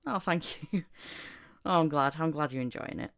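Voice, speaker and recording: Host: woman, low voice, Northern English with a Yorkshire tinge, rambling and chatty. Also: normal speed, fairly bright tone. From Northern England. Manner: chatty, rambling with many restarts, laughs often. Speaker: podcast host. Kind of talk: casual chat.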